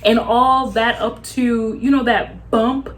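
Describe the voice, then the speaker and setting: A woman's voice: on the high side, little pitch movement, takes it slowly. Earnest woman with a Texan accent. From Zimbabwe. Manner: open, earnest, reflective. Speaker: guest. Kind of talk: casual conversation.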